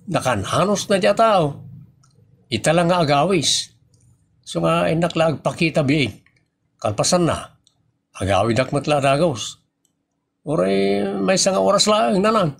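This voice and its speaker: A husky, gravelly Ilocano husband in a comedy audio drama, acted by a man. He swoops up and down as he protests sheepishly in drawn-out exclamations, good-natured and sleepy. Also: on the high side, expressive, full character voice. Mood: pleading. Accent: Ilocano Filipino.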